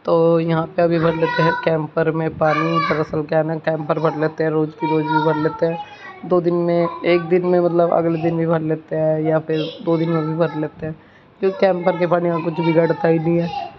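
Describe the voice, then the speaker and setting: Flat-toned village woman vlogger. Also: deep voice, normal speed. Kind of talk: casual home vlog chat and narration. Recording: muffled phone audio with clear background noise.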